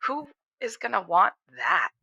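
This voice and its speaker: A woman's voice: fairly high pitch, big pitch swings, measured pace, creaky, bright, forceful tone, slightly rough, dull audio, full character voice. A woman playing an indignant, idealistic young socialist calling in to a radio show in a comedic audio drama, theatrical and emphatic. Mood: resigned.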